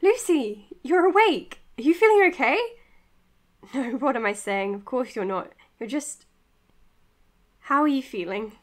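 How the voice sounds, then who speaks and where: An actress playing an anxious, caring young doctor in an audio drama, hesitant and dryly exasperated. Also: female voice, sits high, animated, measured pace, pauses often. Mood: worried. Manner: hesitant, flustered, dryly sarcastic. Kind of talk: naturalistic audio drama acting.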